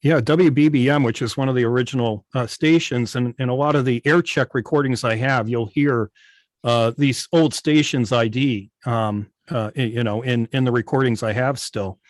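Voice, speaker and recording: A man with a middling pitch talks casually and a little haltingly, keen on small details. His voice comes through very dry, with no room around it. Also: fairly even intonation, no room sound. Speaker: old-time radio station operator, guest. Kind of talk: casual hobby club chat. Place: video call.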